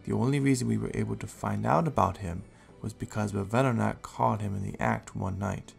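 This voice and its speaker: A man playing a calm, responsible Raichu guardian in an audio drama, speaking in a measured, explanatory way. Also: on the low side, gravelly, soft background music. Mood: earnest, explaining. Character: calm, helpful adult male Raichu guardian, steady and responsible.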